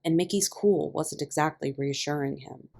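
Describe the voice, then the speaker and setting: A woman reading a story aloud as a podfic narrator, with expressive scripted delivery. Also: low-pitched, normal speed, vocal fry, slight background noise. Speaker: podfic reader.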